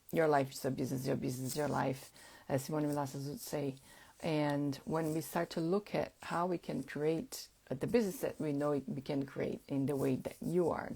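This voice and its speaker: A woman whose first language is Portuguese, hosting a casual live-stream chat at an unhurried pace, with frequent fillers. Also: low-pitched, creaky, darkish tone, slightly rough. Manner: unhurried, chatty, many fillers. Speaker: host.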